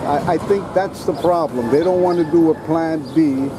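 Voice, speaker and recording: A man interviewing marchers outdoors, talking in an opinionated, halting way with many fillers. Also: on the high side. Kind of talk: casual street interview. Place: outdoors on a city street. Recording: loud street noise.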